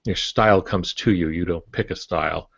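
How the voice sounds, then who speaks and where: A man with a low voice talks casually as a podcast co-host, explaining things reflectively. The sound is dry and close, with a slightly dull, lo-fi quality. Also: moderate pace, vocal fry, fairly bright tone, breathy edge, dry recording. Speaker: podcast co-host discussing writing.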